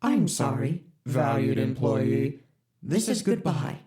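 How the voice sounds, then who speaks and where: Deadpan corporate ship AI. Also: medium pitch, slow pace. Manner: flat, polite, bureaucratic. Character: deadpan corporate spaceship computer AI. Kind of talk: audio drama.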